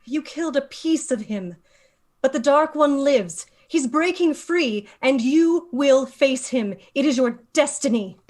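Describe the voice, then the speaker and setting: A woman acting a stern female leader of a mystic order. Her voice is fairly high, measured and full of authority, in a fantasy script read aloud. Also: expressive, darkish tone. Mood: solemn. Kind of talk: dramatic screenplay table read.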